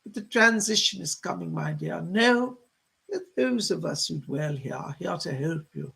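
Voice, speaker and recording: A man voicing a gentle, motherly female spirit guide in a trance séance, effusive and soothing, with genteel endearments and a husky, creaky voice. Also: on the high side, animated, moderate pace, heavily acted. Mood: benevolent, soothing.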